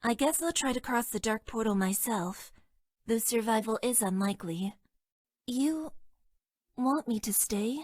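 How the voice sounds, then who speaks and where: A woman voicing a detached, self-deprecating young female mecha pilot in a video game, with deadpan, stilted and hesitant delivery. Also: on the high side, unhurried, dry recording, studio quality. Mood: flat, dejected. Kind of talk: video game character voice lines.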